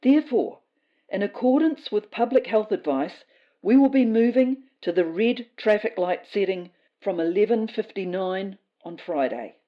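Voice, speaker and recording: A woman playing a self-important female cat who delivers rules in a formal, measured official-briefing manner for a comedy podcast. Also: medium pitch, animated, dull audio, close to an everyday voice. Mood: grave, urgent. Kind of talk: scripted comedy fiction podcast.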